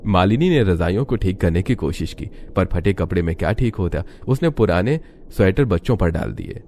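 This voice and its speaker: A man's voice: deep voice, animated, moderate pace, dark tone, slight background noise. A man reading scripted story narration as the narrator.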